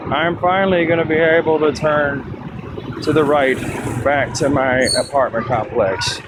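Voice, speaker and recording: A man's voice: a little high, fairly even intonation, a little slow. A man from Texas talking casually as he walks down a city street, rambling and thinking aloud. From Texas, USA. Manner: chatty, rambling, thinking aloud while walking. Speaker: vlogger; teacher. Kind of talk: casual walking vlog. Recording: loud traffic noise behind. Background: loud street traffic and motorbikes.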